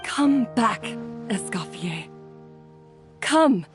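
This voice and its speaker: A woman playing a remorseful, self-blaming head chef in an anime video game, earnest and halting. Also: mid-range voice, lively intonation, faint music behind.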